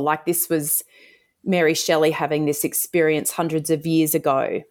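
A woman from Australia talks through her writing in an interview, her voice sitting low and unhurried. She wanders through her thoughts, circling back and restarting as she goes. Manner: thoughtful, meandering, many fillers and restarts. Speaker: author. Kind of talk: interview answers.

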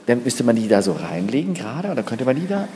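A man explains things step by step like a backstage guide, with lively swings in pitch. He is recorded in a long, reverberant hall with clear noise behind him. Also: quick pace. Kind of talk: explanatory anecdote on location. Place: large reverberant space inside a theatre.

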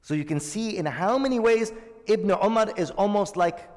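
A man's voice: high-pitched, normal speed, darkish tone. Man teaching in an echoing hall. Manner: explains Arabic terms, asks the audience questions. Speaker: Islamic teacher. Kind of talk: hadith lesson to a congregation.

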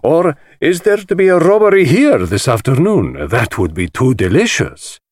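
A man's voice: medium pitch, very animated, normal speed, slightly rough, dry recording, clean studio sound, pitched above the performer's own voice. A man voicing a flamboyant, spiteful foreign society host in an audiobook, with an affected, mockingly purring drawl. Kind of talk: audiobook character voice.

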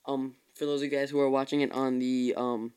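A man's voice: mid-range voice, little pitch movement, a little fast, darkish tone, clear, resonant tone. A tired young man hosting his own podcast, chatting casually in a rambling way and often correcting himself. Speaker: podcast host. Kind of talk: casual solo podcast chat.

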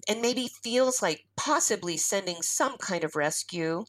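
A woman playing a polite, anxious rabbit radio announcer in an audio drama, speaking with measured understatement. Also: medium pitch, expressive, dry recording. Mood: anxious, composed.

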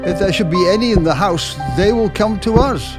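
An actor playing a frail, earnest old doctor, halting and pedantic, in a 1940s radio drama. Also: male voice, high-pitched, loud music behind the voice, natural voice. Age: older.

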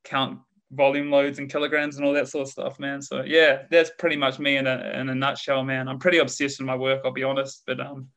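A man's voice: medium pitch, monotone, fairly quick, few pauses, a bit bright, smooth. A man in his thirties with a New Zealand accent, a guest on a podcast talking over a video call in a laid-back, slangy way. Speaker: guest researcher. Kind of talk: casual podcast interview.

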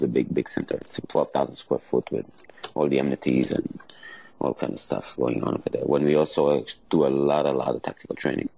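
Very deep gravelly man with Israeli accent. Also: a little mellow, a little hiss or hum, rough recording. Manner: halting, many pauses and fillers. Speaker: martial arts school owner. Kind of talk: casual interview answers.

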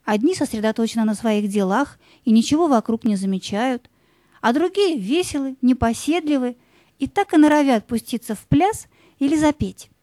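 A woman narrating a scripted fairy tale in measured, lyrical short phrases. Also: fairly high pitch, expressive, dark tone, dry recording.

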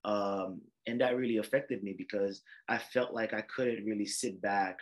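A lower-pitched man talks through his life story in a relaxed interview. He rambles, circles back and fills pauses with "um" and "you know". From Haiti. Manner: rambling, many fillers and restarts. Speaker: emergency medicine doctor, guest. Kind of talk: casual interview, personal story.

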